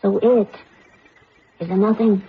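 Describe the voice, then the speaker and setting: A woman's voice: medium pitch, steady tone, unhurried, lightly acted. A woman playing an insistent, childlike computer with feelings, questioning naively in a radio drama. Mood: naive, curious. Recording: muffled narrowband radio, faint noise.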